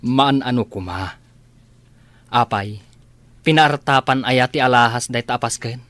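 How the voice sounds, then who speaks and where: Male actor as plain-spoken Ilocano family man, grumbling, mid-pitched, radio drama dialogue. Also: a little slow, a bit bright, no echo, lightly acted. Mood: disapproving. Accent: Ilocano Filipino.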